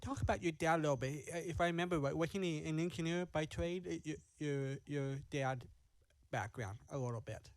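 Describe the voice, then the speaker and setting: Higher-pitched American man, dry close sound. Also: brisk, dry recording. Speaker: interviewer. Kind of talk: casual interview questions. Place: indoors on a video call.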